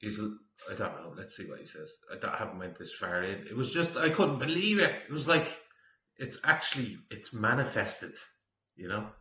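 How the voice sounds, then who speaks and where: Middle-aged guy doing opinionated reaction commentary, bright forceful voice, roomy echo. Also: on the low side, very animated, normal speed. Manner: opinionated, disapproving. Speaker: reaction video host. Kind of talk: casual off-the-cuff commentary. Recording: roomy, echoey sound.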